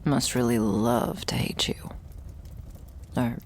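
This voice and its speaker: A heavily creaky, gravelly voice from a woman acting a wry, guarded young woman in a vampire ASMR roleplay. She speaks slowly and drily, musing with sardonic hesitation and often sinking toward a breathy whisper. There is faint noise behind her. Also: very deep voice, steady tone, full character voice. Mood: wry, cautioning.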